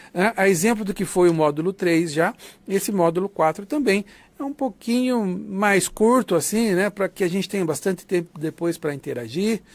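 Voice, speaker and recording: The fairly high-pitched Brazilian man you would hear leading a university short course, explaining things conversationally and checking that students follow. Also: darkish tone, dry recording. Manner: didactic, conversational, often seeks confirmation with tags. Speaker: lecturer of an academic writing course. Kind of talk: online lecture, informal explanation.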